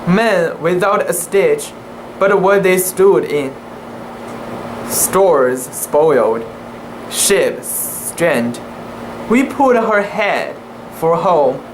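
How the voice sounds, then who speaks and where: An amateur reader giving a dramatic reading of a classic sea story, in a halting, deliberate way with occasional stumbles over words. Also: mid-range voice. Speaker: amateur reader making videos. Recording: noisy room with steady background hum.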